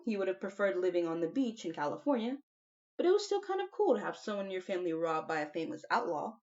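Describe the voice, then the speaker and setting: A woman's voice: mid-range voice, darkish tone, dull audio. A woman reading a children's novel aloud as an audiobook narrator. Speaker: audiobook reader.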